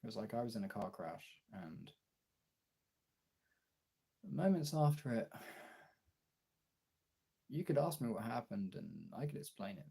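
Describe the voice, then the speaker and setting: Male streamer, gravelly and raspy, confessional off-the-cuff delivery with frequent pauses and casual swearing. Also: lowish voice, very animated, warm tone. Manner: halting, candid, swearing. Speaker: live streamer and voice actor. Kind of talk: casual confessional livestream chat. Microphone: phone.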